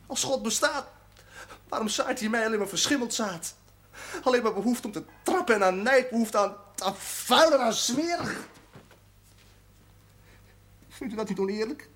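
A man's voice: extremely high pitch, normal speed, long pauses, a bit bright. An actor playing a bitter, tormented young male sinner in a television drama, sarcastic and desperate, swinging from muttering to outbursts. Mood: anguished, raging. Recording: noisy 1970s TV soundtrack.

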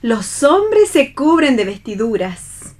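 A woman's voice: fairly high pitch, very animated, takes it slowly, a little mellow, exaggerated voice. An Argentine woman playing a matter-of-fact, sceptical member of a theatre troupe in a radio theatre reading. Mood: questioning.

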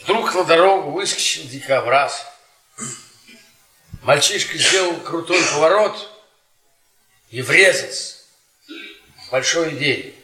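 An actor playing a bitter, worn-down middle-aged history professor, a sardonic storyteller speaking in deliberate, theatrical radio-drama style. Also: male voice, fairly high pitch, bright, forceful tone. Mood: grave, haunted. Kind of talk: Soviet radio theatre acting, dramatic monologue. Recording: roomy stage-like echo, distant from microphone.

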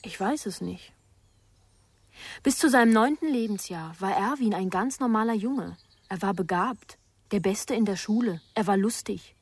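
Young woman for radio thriller: scared, guarded, breathy-husky, dry close recording. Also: medium pitch, normal speed, no room sound. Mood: anxious, guarded. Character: frightened, guarded daughter of a respected family. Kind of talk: German radio crime drama acting.

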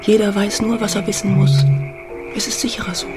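A woman's voice: medium pitch, flat delivery, brisk, natural voice. An actress playing a resolute, serious woman with controlled delivery in a radio drama. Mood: urgent, guarded. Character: Lilia, resolute Polish woman who helped fugitive escape. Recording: loud music behind the voice.

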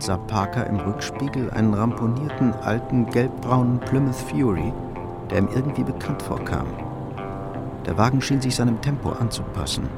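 Male crime drama narrator over music. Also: medium pitch.

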